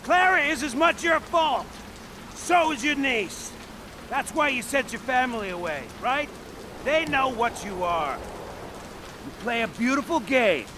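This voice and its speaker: A defiant, cornered villain in a video game drama. His voice is pushed very high as he hurls bitter accusations and taunts through clear rainy noise. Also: expressive, a little slow. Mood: bitter taunting. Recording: clear rain noise behind.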